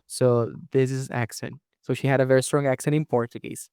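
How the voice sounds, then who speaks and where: A man's voice: medium pitch, flat delivery, fairly quick, darkish tone, smooth, dry recording, clean studio sound. A man hosting an interview, explaining things and asking long, rambling questions. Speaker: podcast host.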